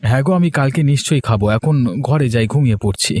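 A man's voice: medium pitch, quick pace, a little mellow, natural voice. A man playing an eager, respectful younger brother in a cartoon story. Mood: polite. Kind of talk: cartoon moral-story dialogue.

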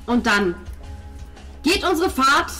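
A woman's voice: high-pitched, sing-song, slow, pushed and bright, faint music behind. A woman narrating a tabletop role-playing game as game master, descriptive and informal.

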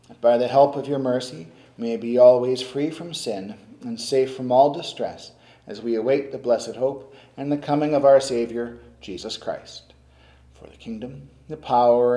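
An older man, a priest, reciting the prayers of the Mass at the altar in a slow, solemn, measured way. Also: mid-range voice, mellow. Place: stone-walled church sanctuary at the altar. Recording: echoey church.

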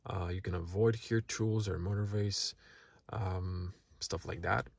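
A man whose first language is Croatian, casually walking through a car's features in a relaxed, halting way from inside a parked car. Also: sits low, creaky, no echo. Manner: relaxed, halting, many fillers. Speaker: car reviewer. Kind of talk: casual product walkthrough.

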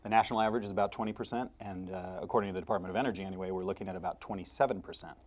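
A man's voice: low-pitched, racing. An American man in his thirties moderating a panel discussion in a studio. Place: TV studio at a desk.

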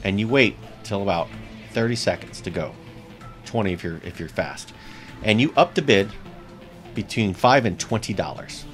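A man's voice: fairly low pitch, expressive, normal speed, pauses often, fairly bright tone, faint music behind. A man in his thirties with a US East Coast accent, hosting a bowling tips vlog straight to camera and talking upbeat and chatty, repeating his key points. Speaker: bowling channel host. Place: small indoor room with shelves. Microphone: seated close to camera.

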